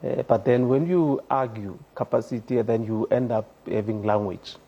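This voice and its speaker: A man's voice: mid-range voice, normal speed, warm tone. A South African man speaking as a studio guest on a panel, earnest and hesitant with many fillers. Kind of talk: panel discussion.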